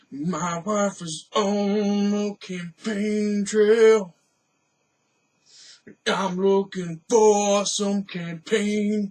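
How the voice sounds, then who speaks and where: A man playing a sleazy, leering politician on the prowl in a drawn-out, exaggerated delivery. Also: sits high, voice pushed up, heavily acted.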